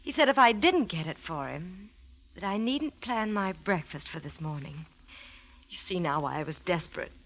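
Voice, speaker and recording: A woman's voice: fairly low pitch, animated, normal speed, fairly bright tone, slight background noise, rough recording. Desperate woman in radio mystery. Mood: desperate pleading. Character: desperate, shadowy woman caught up in crime. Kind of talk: 1940s radio mystery acting.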